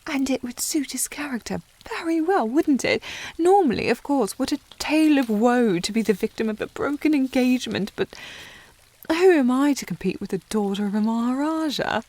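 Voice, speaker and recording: A woman's voice: high voice, lively intonation, normal speed, a little mellow, low-quality recording. A woman playing a snobbish, teasing young lady with haughty, formal phrasing in a historical romance ASMR roleplay. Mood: amused, sardonic. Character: snobbish, teasing young upper-class lady, secretly fond.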